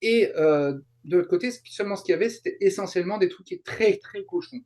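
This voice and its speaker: A middle-aged man from France chatting as an interview guest, explaining things in a halting way with frequent digressions. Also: high voice, darkish tone. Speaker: guest, YouTuber and translator. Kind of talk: casual interview chat, explaining.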